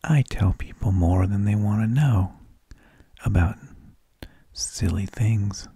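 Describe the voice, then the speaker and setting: Male ASMR host: whispered, husky and gravelly, unhurried halting monologue, very dry close sound. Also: fairly low pitch, warm tone, no echo. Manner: slow, halting delivery with long pauses. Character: mild, tedious energy vampire who lulls people to sleep.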